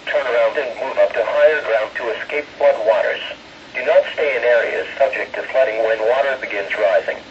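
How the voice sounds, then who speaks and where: Synthetic American male announcer, mid pitch, formal measured alert reading, heard from a noisy radio speaker. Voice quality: synthetic text-to-speech voice. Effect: weather radio speaker. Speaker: automated weather radio announcer. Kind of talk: formal scripted emergency weather bulletin. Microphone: camera pointed at a small radio speaker. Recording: muffled, noisy radio speaker recorded in a room.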